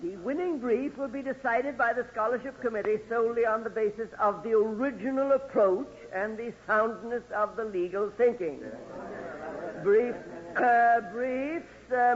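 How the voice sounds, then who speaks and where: A man's voice: sits high, natural voice. An actor playing a formal, exacting male law professor in 1940s radio drama style, lecturing in precise phrases. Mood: formal, instructing. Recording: dull, reverberant old radio recording.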